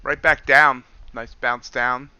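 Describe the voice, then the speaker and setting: A hoarse man hosting a livestream, chatting casually as he reacts to the chart and greets viewers. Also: medium pitch, level tone, slow pace, sharp tone, no room sound. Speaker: futures trader hosting a livestream. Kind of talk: casual live-stream market commentary.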